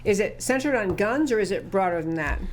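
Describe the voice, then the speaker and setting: A woman's voice: medium pitch, expressive, brisk. Woman host, chatty and curious, lots of follow-ups, mid voice, faint hiss. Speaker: talk show host. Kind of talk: conversational interview.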